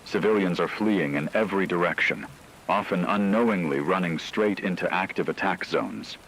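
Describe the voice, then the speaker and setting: A man plays a grave radio news anchor with a low-pitched voice. He reads dramatic disaster reports in a formal, deliberate way. A faint rain-like noise sits behind his words. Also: fairly bright tone, low-quality recording. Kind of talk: scripted dramatic news narration.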